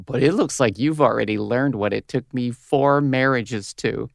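A radio host in her fifties in a comedy audio drama. She is brash and cynical, with a gravelly, creaky smoker's voice recorded dry and close. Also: a bass voice, moderate pace, no room sound. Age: 50s. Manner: brash, foul-mouthed, switching to syrupy on air. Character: crude, cynical, hard-bitten 57-year-old female radio host.

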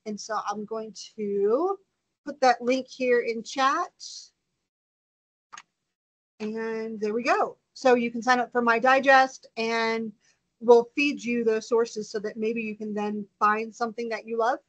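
A woman guest on a video call, sharing a resource in a friendly, brisk way. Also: a little high, fairly even intonation. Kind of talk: casual chat on a video call. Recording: dull, lo-fi call audio.

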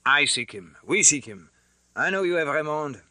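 A rough soldier barking out an arrest in a loud, commanding voice, as a male narrator plays him. The tone is bright and forceful. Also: mid-range voice, fairly quick, no room sound.

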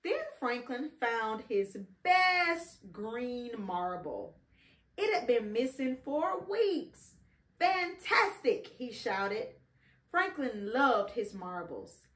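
A middle-aged woman reading a children's story aloud expressively, pausing to prompt and encourage a child. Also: on the high side, very animated, a bit bright. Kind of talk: children's read-along storytelling. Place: indoor living room beside a Christmas tree. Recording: roomy, echoing home recording.

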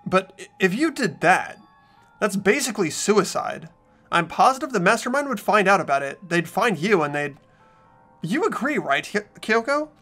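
A man playing an earnest, anxious teenage schoolboy in an anime game fan dub, with an expressive, worried delivery. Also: high-pitched, normal speed, faint music behind, full character voice. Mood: alarmed. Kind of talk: visual novel fan dub voice acting.

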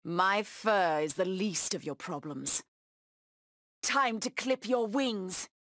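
Feline huntress villain, slow deliberate pace, menacing, haughty, bloodthirsty, dry close sound, fighting-game taunts. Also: female voice, medium pitch, expressive, fairly bright tone, no echo. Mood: menacing, predatory. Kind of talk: video game voice acting, short combat taunts.

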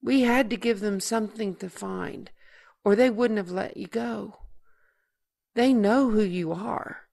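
An actress playing a caring, grave and patient older sister in an audio drama. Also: female voice, medium pitch, a little slow, gravelly, a little mellow. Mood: gentle, serious.

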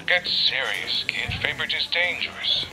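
A man playing a gruff older mentor who talks in a dry, terse way in a fan audio drama. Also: fairly high pitch, animated, measured pace, noisy background.

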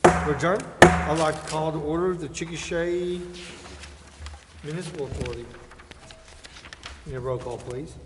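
A man's voice: a little high, normal speed, long pauses. An American man acting as mayor, informally running meeting procedure and reading out agenda items. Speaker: mayor chairing a council meeting. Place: indoor council chamber. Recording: reverberant meeting room, faint noise.

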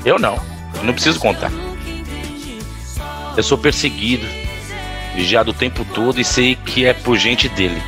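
A man's voice: mid-range voice, moderate pace, long pauses, over music, natural voice. A man playing an anguished young rich heir in an audio drama, confiding intensely about a father he fears. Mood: bitter, fearful.

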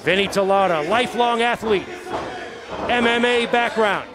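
A man's voice: high-pitched, normal speed. A man calling a live wrestling match play-by-play with an emphatic announcer delivery. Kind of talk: live sports commentary. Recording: loud crowd and venue noise behind. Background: wrestling crowd, ring slams.

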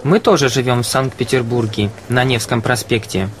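A man's voice: medium pitch, fairly even intonation, normal speed, slight background noise. A man reading scripted dialogue for a language course.

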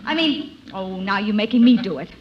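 A woman's voice: mid-range voice, lively intonation, fairly quick, slight background noise, lightly acted. An actress playing a stern, exasperated woman schoolteacher, flustered and scolding, in a 1950s radio comedy. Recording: dull old radio recording.

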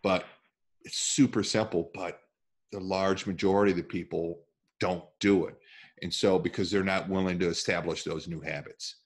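A man's voice: deep voice, normal speed, slightly rough. A man hosting a podcast interview on a video call, talking emphatically into a headset mic from a room at home. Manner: animated, emphatic, gestures with hands. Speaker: podcast host. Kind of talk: casual interview chat.

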